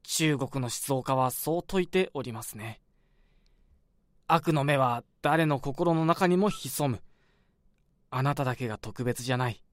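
A measured, courteous hospital doctor, voiced by a man in a radio drama, lecturing gently. His pitch sits mid-range, and the recording is close and dry. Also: no room sound, close to an everyday voice. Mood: calm. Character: calm philosophical male hospital doctor, middle-aged.

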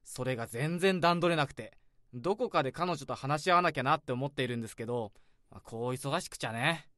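A man's voice: mid-range voice, expressive, a little fast, a bit bright, no echo, studio quality, natural voice. An actor playing a brash, complaining young male office worker in his twenties in a radio drama.

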